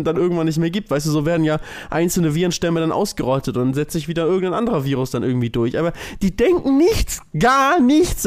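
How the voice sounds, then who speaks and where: A man. He talks casually as a podcast co-host, musing out loud and hammering points home by repeating himself. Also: sits high. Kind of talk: casual chat.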